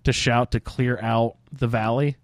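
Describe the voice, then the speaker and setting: A man hosts a casual gaming roundtable with an inquisitive manner, inviting others to weigh in. His voice sits at an average male pitch, and the sound is close and dry, with very little room in it. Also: level tone, measured pace, no room sound. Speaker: podcast roundtable host. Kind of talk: casual roundtable discussion of a video game.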